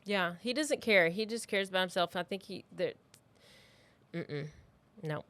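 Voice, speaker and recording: A woman with a fairly low, smooth voice hosts a true-crime podcast. She tells the story in an informal, conversational way and wanders into asides. The sound is close and intimate on the microphone. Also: unhurried, fairly bright tone. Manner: informal, loosely structured telling with asides.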